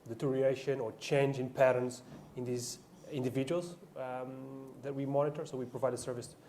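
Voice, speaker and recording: A man with a mellow, dark-toned voice introduces himself as a panelist. He speaks carefully, pausing and searching for words, with faint room ambience behind him. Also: medium pitch, level tone, a little hiss or hum. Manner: careful, halting, with pauses. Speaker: panelist, biomedical engineer.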